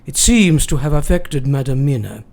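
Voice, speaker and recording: An actor playing a grave, devoted elderly foreign professor in a radio drama, speaking solemnly and deliberately in broken grammar. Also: male voice, mid-range voice, expressive, creaky, a little mellow. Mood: solemn, fearful. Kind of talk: radio drama acting, dramatic journal narration.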